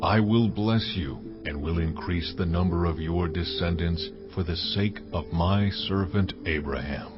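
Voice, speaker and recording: A man playing a solemn, commanding God in a dramatized scripture reading, speaking in a measured way. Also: low-pitched. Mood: solemn promise. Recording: dull recording under prominent music.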